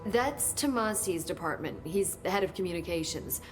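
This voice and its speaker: An actress playing an earnest woman researcher at a secret agency, speaking softly and thoughtfully in game cutscenes. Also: lowish voice, animated, normal speed, soft background music, natural voice. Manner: soft, hesitant, thoughtful with sighs. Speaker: game character. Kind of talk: video game cutscene dialogue.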